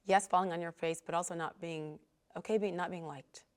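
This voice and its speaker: A woman's voice: fairly low pitch, fairly even intonation, brisk. A middle-aged woman hosting a casual interview, speaking candidly and with emphasis. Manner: candid and emphatic, speeds up when making a point. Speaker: host.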